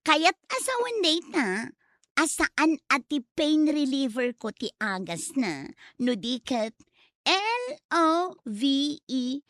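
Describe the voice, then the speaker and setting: An actress with a Filipino accent playing a chatty, lovestruck woman in a family comedy drama, with an expressive, drawling delivery. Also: high-pitched, slow, dry recording, clean studio sound, exaggerated voice. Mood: lovestruck, playful. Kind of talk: comedy audio drama acting.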